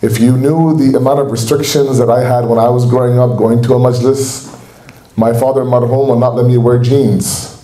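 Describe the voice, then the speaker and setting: A man with a Canadian accent delivers an earnest, exhorting sermon in a mellow, relaxed voice. His words ring out through an echoey hall at a community center. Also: mid-range voice, moderate pace. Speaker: speaker at a religious gathering.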